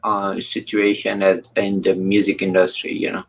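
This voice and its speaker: A man hosting an interview, speaking haltingly with many fillers. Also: low-pitched, completely flat delivery. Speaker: interview host. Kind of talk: casual interview chat.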